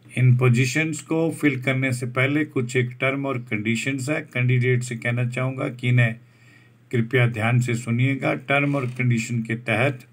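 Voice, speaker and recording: A man reads out a job advertisement over a document, slowly restating each English term in Hindi. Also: mid-range voice. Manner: slow, deliberate, restates each point in Hindi after English terms. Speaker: job vacancy channel host.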